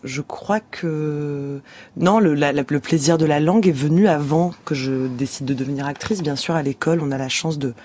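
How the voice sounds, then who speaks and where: A woman's voice: low-pitched, normal speed, warm tone. A young actress talking thoughtfully in a radio studio interview, searching for her words as she goes.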